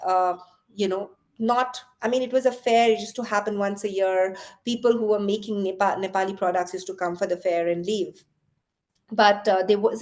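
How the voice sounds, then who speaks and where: A middle-aged woman from Chennai, a company founder, telling her story in an interview with earnest pauses and fillers. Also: mid-range voice. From Chennai, India. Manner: earnest, many fillers like "uh" and "you know".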